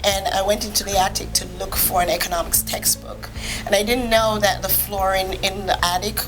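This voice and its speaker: A woman's voice: medium pitch, level tone, a little fast, poor audio. Matter-of-fact woman from Jamaica over music. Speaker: podcast guest, physician. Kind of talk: conversational personal storytelling.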